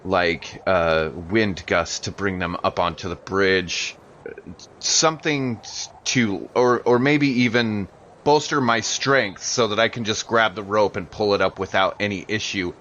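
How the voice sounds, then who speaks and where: A man's voice: on the low side, fairly even intonation, measured pace, a bit bright, lo-fi sound, slight background noise. A man playing a tabletop role-playing game, chatting casually and hesitantly as he thinks aloud. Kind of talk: casual improvised play and chat.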